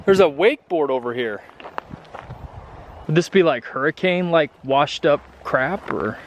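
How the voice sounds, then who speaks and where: A man's voice: a little high, lively intonation, measured pace, dull audio. A young man casually vlogging on a layover, joking and laughing as he films himself around town. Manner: laid-back, jokey, laughs often. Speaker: pilot vlogger. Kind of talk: casual vlog narration. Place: outdoors on the beach and in town. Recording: loud outdoor noise and crowd.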